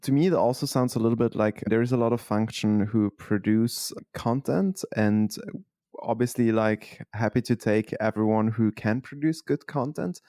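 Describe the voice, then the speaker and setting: A man with a low voice co-hosts a podcast interview. He asks his questions in a measured way and pauses to find his words. The sound is dry. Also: warm tone, no echo. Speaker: podcast co-host.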